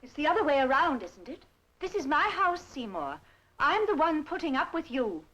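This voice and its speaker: An actress playing a middle-aged, sharp-tongued, mocking aunt in a radio drama, haughty and articulate. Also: female voice, mid-range voice, expressive, normal speed, lightly acted, low-quality recording. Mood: dry, scornful. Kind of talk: old-fashioned radio drama acting.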